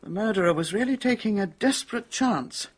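An actress playing an opinionated, excitable crime novelist in a radio drama, emphatic and sure of herself. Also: female voice, mid-range voice, fairly quick, slightly rough. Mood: incredulous, certain. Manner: emphatic, self-assured.

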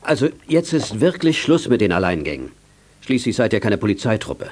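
An actor playing a brisk, teasing, mildly stern fatherly man in a children's radio play. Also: on the low side, sing-song, darkish tone, a little hiss or hum. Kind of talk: 1980s German children's radio play acting.

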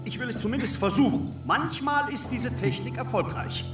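A man's voice: very high voice, moderate pace, reverberant, distant mic, over music. A man playing a tense, matter-of-fact surgeon at a difficult birth in a radio drama. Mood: tense, clinical.

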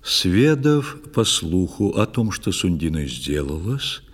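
A man solemnly reciting a poetic tale as narrator of an audio play, measured and expressive. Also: low-pitched. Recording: long hall reverb, music behind.

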